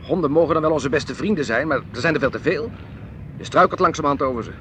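An actor playing an easygoing but dismissive, evasive husband in a naturalistic radio play, with a Netherlands Dutch accent. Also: male voice, a little high, fast talker, a bit bright, close to an everyday voice. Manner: dismissive, conversational. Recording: noisy outdoor street ambience with traffic.

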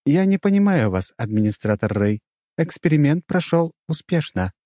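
Man as city computer, high register, formal, procedural, dutiful, emotionless, sci-fi audiobook. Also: big pitch swings, normal speed, dark tone, no echo, clean studio sound.

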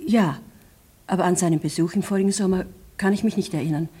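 A woman's voice: lowish voice, fast, warm tone, slightly rough. An actress playing a curt, evasive businesswoman under accusation in a 1950s German radio play. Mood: curt, guarded.

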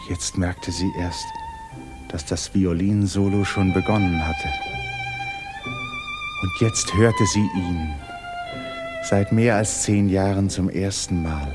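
A man narrating a literary 1950s radio drama in an expressive, dramatic storytelling manner. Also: low-pitched. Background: violin music.

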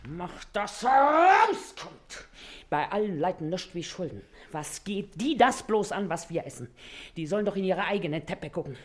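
Radio play casting: earthy scheming mother, low-leaning voice with big swings, thick German dialect, scolds and coaxes. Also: sing-song, moderate pace. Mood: scolding. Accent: German regional dialect. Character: scheming, bossy working-class wife and mother, coarse and shrewd.

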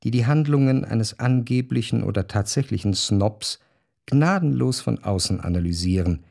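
An actor playing a reflective, wryly observant narrator in a literary radio drama, speaking in a measured, articulate way. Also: male voice, medium pitch, gravelly, warm tone, dry recording, studio quality. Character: reflective, observant male narrator of society life. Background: faint music.

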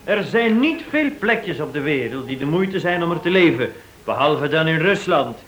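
A man's voice: on the high side, very animated, moderate pace, fairly bright tone. An actor playing a wry, musing man in a radio drama. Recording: echoey, distant old radio play recording.

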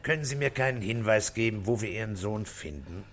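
Low-voiced, curt police commissioner. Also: male voice, animated, a little fast. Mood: probing. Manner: curt, probing questions. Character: calm, terse, probing male police commissioner. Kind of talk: radio drama acting.

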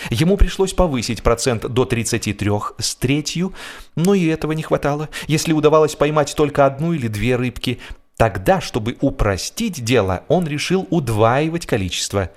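A man reads humorous literary narration for radio in a wry, theatrical way, leaning deliberately on key words. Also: medium pitch, expressive. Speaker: audiobook narrator, actor.

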